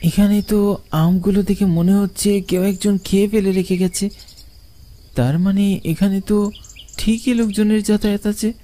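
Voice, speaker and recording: A woman's voice: mid-range voice, fairly even intonation, moderate pace, warm tone, close to an everyday voice. A woman voicing a curious, defiant village boy who talks to himself in a cartoon horror story. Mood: suspicious, tempted.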